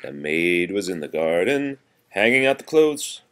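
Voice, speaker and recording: A man's voice: deep voice, very animated, a little slow, a bit bright, clear, resonant tone. A man reading nursery rhymes aloud in a sing-song way, chatting casually to a child between them as a parent would.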